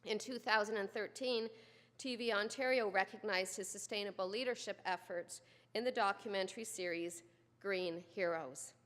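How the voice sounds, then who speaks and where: A woman reads a formal ceremonial citation in a steady, middle-pitched voice. Her delivery is composed and formal. A long reverb from a large hall follows every phrase. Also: normal speed. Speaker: dean presenting an honorary degree.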